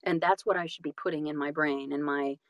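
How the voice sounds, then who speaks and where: A woman talking reflectively as an interview guest, animated and self-deprecating, often halting and repeating phrases for emphasis. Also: low voice, dry recording, lo-fi sound. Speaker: guest, audiobook narrator and voice-over artist.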